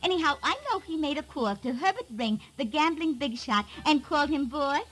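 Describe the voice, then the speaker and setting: High-pitched, mock-genteel chatty counter girl. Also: animated, fairly quick, a bit bright, soft background music, low-quality recording. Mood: helpful, chatty. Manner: affectedly refined, prim, wordy. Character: chatty young female hot dog attendant, mock-genteel, easily miffed. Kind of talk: 1950s radio comedy character acting.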